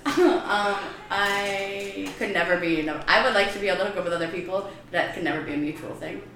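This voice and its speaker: A woman's voice: mid-range voice, fairly bright tone, roomy sound, low-quality recording. Brash Chicago woman in her thirties. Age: 30s. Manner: brash, crude joking, quick laughs. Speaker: podcast guest, comedian. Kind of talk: casual podcast chat.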